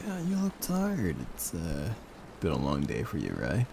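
A man speaking softly in an intimate, soothing ASMR boyfriend roleplay, murmuring in a comforting, gently teasing way. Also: low-pitched, huge pitch swings, unhurried. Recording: close recording with clear background noise.

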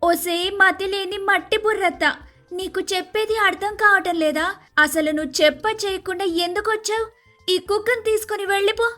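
A woman playing a hot-tempered young daughter-in-law, shrill, scolding and dramatic, in an animated moral story. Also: normal speed, soft background music. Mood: furious scolding.

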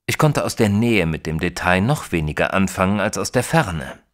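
A man playing a proper, opinionated Victorian gentleman who tells his story in a German audio drama, measured and expressive. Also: low voice. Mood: storytelling. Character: proper Victorian gentleman narrator, opinionated, easily shocked companion. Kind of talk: dramatised first-person audiobook narration in German.

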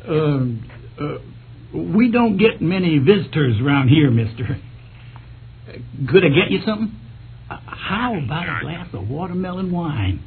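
An actor playing a good-humored, bashful rural American farmer in an old radio drama, talking in a halting, folksy way. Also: male voice, fairly high pitch, sing-song. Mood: helpful. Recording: tinny old radio recording, faint noise.

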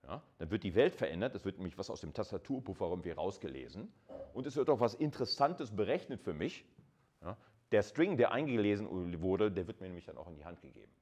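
A man's voice: low voice, very animated, fast, darkish tone. A man lecturing informally on technical material in speech, conversational and addressing listeners directly with short check-in tags. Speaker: university lecturer.

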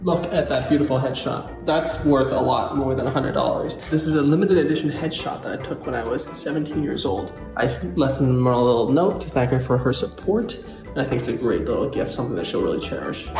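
Young guy from thailand, gravelly voice, chatty and jokey on a phone selfie, faint music behind. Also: mid-range voice, brisk. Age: young adult. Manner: relaxed, joking, easily excited. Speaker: online video host. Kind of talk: casual chat to camera.

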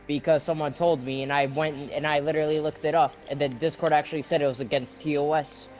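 A man's voice: on the high side, level tone, fast, darkish tone. A man in casual voice chat while gaming, blunt and slangy, calling everyone bro.

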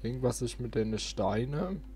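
A man's voice: medium pitch, expressive, moderate pace, darkish tone. A man doing casual let's play commentary, thinking aloud with playful little interjections. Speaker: let's player, channel host. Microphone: close desk microphone. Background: game music.

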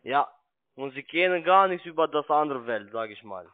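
High-voiced salesman on a tinny phone. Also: lively intonation. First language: not German. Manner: halting, insistent, broken grammar. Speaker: call centre sales agent. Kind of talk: pushy unscripted phone sales pitch. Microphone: telephone. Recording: tinny narrowband phone line.

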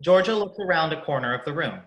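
A woman's voice: deep voice, expressive, fast, lo-fi sound. A woman narrator reading out stage directions for a radio play. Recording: roomy, echoing sound.

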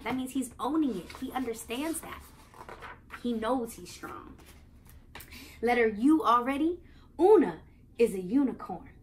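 A young American woman reading a picture book aloud to children, expressively, pausing to explain and ask the young listeners questions. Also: on the high side. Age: young adult. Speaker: children's read-aloud host. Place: living room, on a couch.

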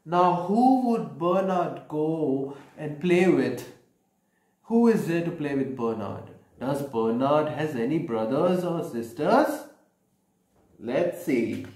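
Animated high-voiced man reading to children. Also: slow pace. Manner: animated, questioning, explaining simply. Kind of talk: picture-book read-aloud with questions to children. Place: small bedroom, indoors. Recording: echoey room.

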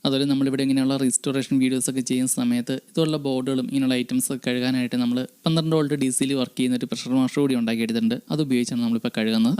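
A man's voice: mid-range voice, a little mellow, clear, resonant tone. A man, hosting an electronics repair channel and narrating a restoration tutorial step by step. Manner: explanatory, step by step, addressing viewers.

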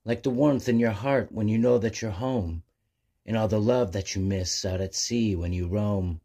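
A man's voice: fairly low pitch, normal speed, dark tone, breathy edge. A man voicing a friendly, generous male seal who speaks encouragingly in a children's storybook. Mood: wise, encouraging.

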